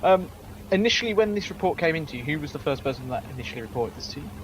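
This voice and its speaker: A man playing a lawyer in a roleplay courtroom, cross-examining a witness with quick, probing questions. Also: a little high, lively intonation, background noise.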